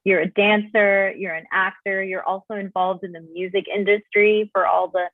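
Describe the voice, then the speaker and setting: A woman's voice: medium pitch, steady tone, moderate pace, dry recording, narrow, tinny audio. A woman hosting an interview series, enthusiastic and effusive, on video call audio. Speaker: interview host.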